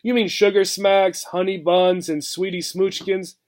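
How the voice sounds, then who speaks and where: A man's voice: high voice, flat delivery, a little slow. A man voicing a curious, polite female gerbil in a storybook, earnest and often incredulous. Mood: questioning.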